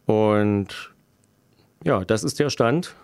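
A middle-aged man from Germany answering interview questions as a local politician, explaining deliberately and haltingly with dry irony. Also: lowish voice, expressive, clear, resonant tone, no room sound. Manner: deliberate, halting, dryly ironic. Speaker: local politician interviewed, road planner by profession.

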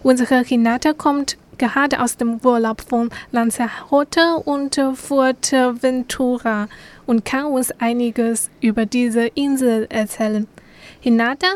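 A woman's voice: fairly high pitch, steady tone, a little slow. A woman hosting a magazine programme, presenting from a script and putting questions to guests. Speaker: radio magazine host.

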